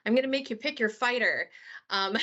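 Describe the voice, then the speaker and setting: A woman's voice: on the high side, normal speed, bright, forceful tone, muffled recording. Woman, Minnesotan accent, chatty webinar presenter, webcam mic. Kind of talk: presenting slides.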